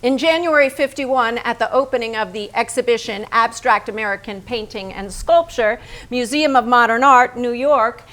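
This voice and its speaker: A woman performing as a bitter, possessive painter's wife, with a fairly high voice. She moves between storytelling and dialogue in a staged play reading, sardonic and exasperated with her husband. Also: normal speed, a bit bright, natural voice. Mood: cold, scornful. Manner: sardonic, biting. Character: Lee, embittered painter's wife, sharp-tongued, possessive, exasperated. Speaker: stage actress. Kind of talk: theatrical staged reading, narration mixed with dialogue.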